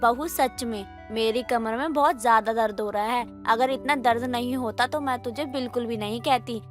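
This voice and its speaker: A woman's voice: fairly high pitch, moderate pace, natural voice. A woman voicing an exasperated, scolding middle-aged mother-in-law in a moral story cartoon, complaining and nagging. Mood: pained, pleading. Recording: music under the voice.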